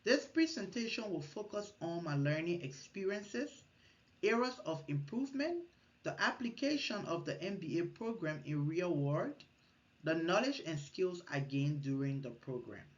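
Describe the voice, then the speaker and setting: A woman's voice: on the low side, sing-song, normal speed, highs cut off. A woman from Liberia, giving an earnest recorded class presentation, partly read and with small restarts. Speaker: student presenter.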